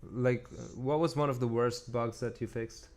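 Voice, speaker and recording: A man's voice: medium pitch, steady tone, measured pace, darkish tone. A man streaming game development, chatting casually and thinking aloud in loose, informal phrasing. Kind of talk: casual chat while working. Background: music behind the voice.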